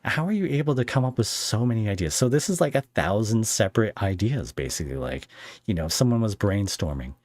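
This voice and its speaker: A man hosting a podcast, chatting casually with a guest in halting, searching phrases that often turn into questions. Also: on the low side, sing-song, darkish tone, no room sound. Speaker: podcast host interviewing a guest. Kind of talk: casual interview chat.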